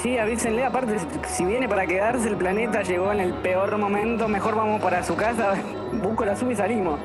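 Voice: mid-range voice, fairly quick, natural voice. An actor playing a cheeky, streetwise radio panelist with an Argentine accent, bantering in brash slang in a comic radio play. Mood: brash, joking. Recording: loud music behind.

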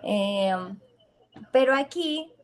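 A woman's voice: high-pitched, expressive, very slow. A young woman from Mexico with a Mexican accent, chatting casually as a podcast guest in a slangy, easygoing way and laughing often. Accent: Mexican Spanish. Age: young adult. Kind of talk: casual chat.

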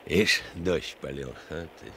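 Gang criminal, deep gruff voice, folksy slow delivery, faint ambient noise, radio play. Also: male voice, exaggerated voice. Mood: gruff, scheming. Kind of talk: Russian radio play acting.

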